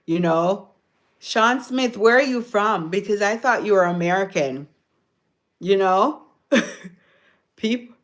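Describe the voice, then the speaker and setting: A Jamaican woman hosting a casual livestream, chatting with viewers, answering their comments and laughing often. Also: lowish voice. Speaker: livestream host. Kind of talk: casual live-stream chat with viewers.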